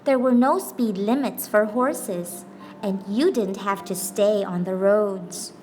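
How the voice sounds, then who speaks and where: Slow-paced woman reading a storybook. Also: mid-range voice, slight background noise. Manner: expressive, deliberate phrasing with pauses for emphasis. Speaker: teacher reading a picture book aloud. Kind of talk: scripted storybook narration. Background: background music.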